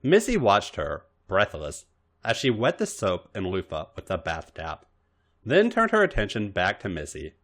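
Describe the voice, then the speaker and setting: Man narrating with widely swinging pitch. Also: wildly swooping pitch, moderate pace, fairly bright tone. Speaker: podcast host reading a story. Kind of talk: expressive narration of written fan fiction.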